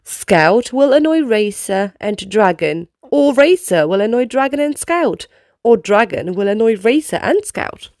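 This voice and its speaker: A woman reading a children's picture book aloud in an expressive storytelling style. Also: a little high, measured pace, dry recording, studio quality.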